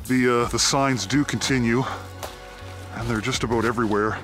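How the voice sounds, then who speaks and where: Canadian guy narrating outdoors in the woods, lots of uh pauses, camera mic, faint music under it. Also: mid-range voice, steady tone. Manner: thoughtful, pauses often with "uh". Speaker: abandoned mine explorer. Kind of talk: walking narration while exploring. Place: outdoors in a forest.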